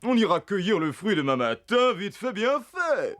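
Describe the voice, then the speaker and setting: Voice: mid-range voice, very animated, normal speed, fairly bright tone. An actor voicing a boastful, hasty zebra in a French cartoon, talking in a bragging rush. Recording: voice over music.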